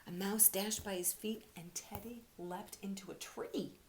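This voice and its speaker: A woman reading aloud to children like a teacher, expressive, often dropping into breathy, hushed storytelling. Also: mid-range voice, unhurried. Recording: faint background noise.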